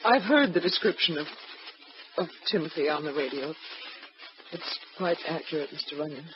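Muffled old-radio scheming widow. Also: female voice, low voice, lively intonation, normal speed. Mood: confessional. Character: scheming widow feigning devotion to her murdered husband. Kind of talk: 1940s radio drama acting. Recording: muffled, noisy vintage radio recording.

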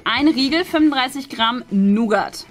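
A woman in her thirties with a high voice, gushing her way through a casual unboxing as host. She talks straight to viewers in an enthusiastic, chatty way. Faint music plays behind her. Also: animated, a little slow. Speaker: host unboxing an advent calendar. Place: small furnished indoor studio.

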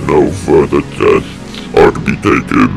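A man voicing an ominous, impersonal official announcement for analog horror, gravelly, formal and deadpan. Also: a bass voice, measured pace, music in the background, heavily acted. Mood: cold, ominous.

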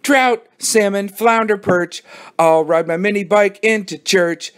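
A man's voice: a little high, very animated, normal speed, voice pushed up. A man voicing an eager, encouraging boy in a children's audiobook, insistently coaxing a friend.

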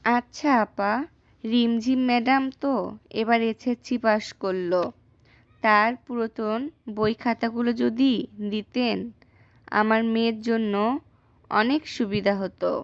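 Devoted poor village mother voiced by a woman, cartoon drama, gentle worry, dry close sound, slightly dull recording. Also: a little high, steady tone, a little slow, long pauses, clean tone, dry recording. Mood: humble, warm. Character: loving, worried poor village mother, hardworking and self-sacrificing. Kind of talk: acted cartoon dialogue.

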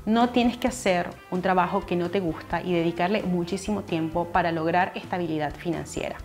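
Young female host, steady explainer to camera, lapel mic, faint music bed. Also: fairly low pitch, fairly quick. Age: young adult. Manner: steady, explaining, addresses viewer directly. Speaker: finance channel host. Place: living room, seated on a couch indoors.

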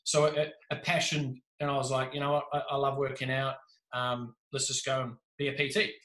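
Give me an animated, jokey New Zealand man in his thirties, bright-toned and echoey. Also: medium pitch, fairly quick. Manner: animated, gestures a lot, jokey. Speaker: podcast guest. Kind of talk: casual storytelling. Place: small room indoors. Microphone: video call with desk mic. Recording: echoey room.

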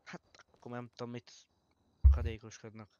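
A man's voice: mid-range voice, monotone, mellow, clean tone. A man in online game roleplay playing a police officer, speaking matter-of-factly over muffled, distant voice chat. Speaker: fellow online roleplay player, acting as police.

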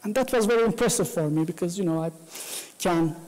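High-voiced Turkish-native man lecturing. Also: quick pace, a little mellow, big-room sound. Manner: gestures while talking, frequent fillers. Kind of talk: academic lecture. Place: lecture room. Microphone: lectern mic, far camera.

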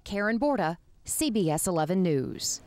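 A woman's voice: medium pitch, very animated, normal speed, a little mellow. A woman with an American accent narrating a scripted TV news report.

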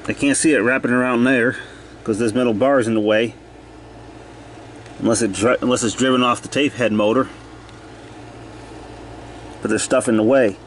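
Male hobbyist repairer, mid-pitched, plain-spoken and unscripted, talking to himself on handheld camera audio with faint hum behind. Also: fairly even intonation, normal speed, long pauses. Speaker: hobbyist repairer narrating own video. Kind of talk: casual off-the-cuff repair commentary. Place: cluttered indoor workshop.

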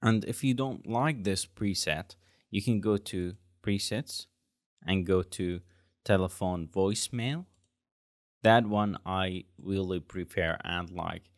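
A man in his twenties narrating a software tutorial as its host, explaining step by step. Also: deep voice, slow, no echo, studio quality. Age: 20s.

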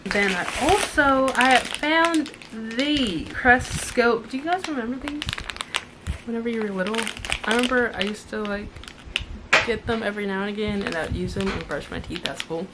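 A woman's voice: a little high, normal speed. Chatty young woman in a noisy living room. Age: young adult. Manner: chatty, relaxed, lists items with asides. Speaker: vlogger. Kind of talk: casual haul vlog. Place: living room, on a couch indoors.

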